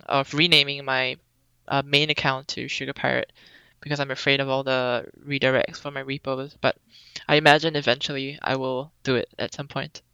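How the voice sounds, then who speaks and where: A young woman from Singapore chats as a podcast guest, relaxed and rambling with plenty of ums. Her voice is bright and crisp with a gravelly edge. The recording is dry and a little dull, like a lo-fi remote call. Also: rumbling low, dry recording. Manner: relaxed, chatty, many ums. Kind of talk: casual interview chat.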